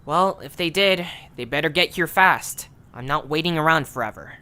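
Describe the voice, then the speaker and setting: A woman's voice: sits low, normal speed, sharp tone. A woman voicing an impatient, skeptical young leader of a creature band in an amateur audio story. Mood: grudging, doubtful. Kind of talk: amateur audio drama acting.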